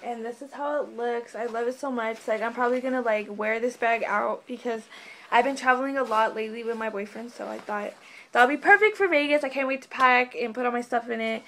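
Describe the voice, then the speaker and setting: A woman's voice: on the high side, a little fast, fairly bright tone, a little hiss or hum. A young woman in a casual haul vlog, losing her voice and a bit sick, rambling in a bubbly way with lots of fillers, recorded on a webcam in her bedroom. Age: young adult. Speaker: vlogger. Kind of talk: casual haul video chat. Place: bedroom, indoors.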